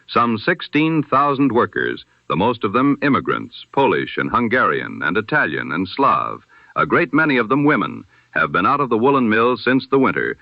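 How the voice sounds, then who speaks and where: A man reading a scripted news bulletin as a 1920s radio announcer, in a solemn, formal, measured oratorical manner. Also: lowish voice, dry recording, highs cut off.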